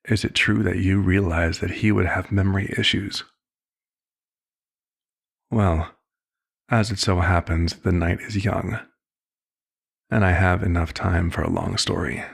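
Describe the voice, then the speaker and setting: Husky, gravelly vampire doctor, played by a man. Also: deep voice, steady tone, unhurried, pauses often, exaggerated voice. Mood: probing, measured. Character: vampire doctor, male, concerned, paternal, measured therapist. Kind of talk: ASMR roleplay.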